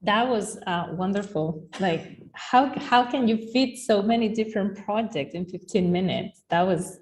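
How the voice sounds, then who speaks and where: A woman's voice: mid-range voice, a little slow, a little mellow, a little hiss or hum, rough recording. Woman moderating a webinar.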